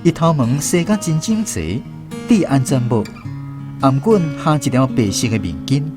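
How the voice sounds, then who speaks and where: Taiwanese guy narrating a radio story, really expressive up and down, music under him. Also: medium pitch, normal speed. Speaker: narrator and host. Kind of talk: scripted radio drama narration.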